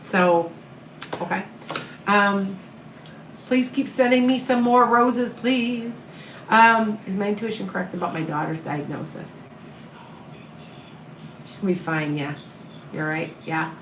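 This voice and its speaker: A woman with a Midwestern accent talks to her live viewers in a calm, comforting way. The room around her is echoey, with a faint hum behind her voice. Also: mid-range voice, slow pace, long pauses. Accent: Upper Midwest American. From American Midwest. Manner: calm, soothing, reassuring. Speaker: tarot reader and medium. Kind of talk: live-stream reading viewer questions.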